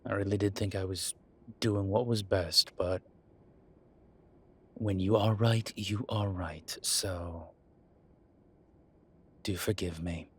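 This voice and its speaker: Man playing a deep, husky demon professor. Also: steady tone, a little mellow, exaggerated voice. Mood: sincere, apologetic. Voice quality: husky, breathy low voice. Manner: slow, halting, measured delivery with sighs. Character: ancient male demon professor, gruff but contrite and caring. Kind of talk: intimate audio roleplay to one listener.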